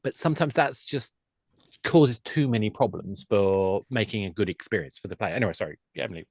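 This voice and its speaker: A man's voice: lowish voice, a little fast, darkish tone, no echo, clean studio sound. A man speaking as an industry expert guest on a podcast, talkative and emphatic, explaining casually point by point.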